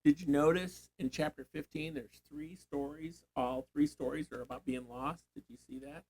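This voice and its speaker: A man's voice: on the high side, fairly even intonation, normal speed, a little mellow, rough recording. An older man, a grandfather, leading a casual Bible reading, thinking aloud and prompting with questions. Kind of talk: casual teaching chat.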